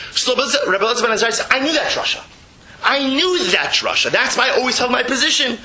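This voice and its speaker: High, bright-voiced man teaching religious text. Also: rough recording. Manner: emphatic, repeats phrases, rhetorical questions. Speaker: rabbi giving a Torah class. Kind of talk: religious text lecture, reading and translating.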